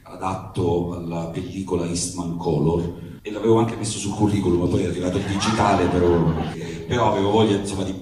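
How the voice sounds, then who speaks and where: In a strongly reverberant room, at some distance from the mic, a man introduces a reading informally. His voice is low and rather dark. He talks haltingly and rambles along in a self-deprecating way. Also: steady tone. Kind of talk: informal spoken introduction to a live audience. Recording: echoey room, distant mic, faint noise.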